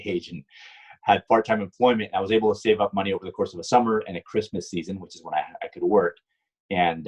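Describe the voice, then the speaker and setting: A middle-aged man from Puerto Rico tells long stories with a very deep, husky voice. He rambles, restarts his sentences and fills pauses with ums as he answers questions. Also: darkish tone, rough recording. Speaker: guest, stunt driver and car designer. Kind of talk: casual interview answers.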